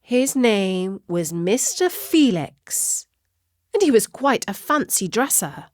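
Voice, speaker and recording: A woman reads a children's story with dramatic, deliberate phrasing. The melody rises and falls widely at an unhurried pace. The recording is close and dry. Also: medium pitch, very animated, no echo. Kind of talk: scripted children's story narration.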